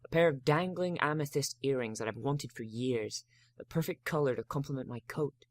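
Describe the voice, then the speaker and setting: A man's voice: medium pitch, moderate pace. A man giving a dramatic first-person reading of a story as narrator.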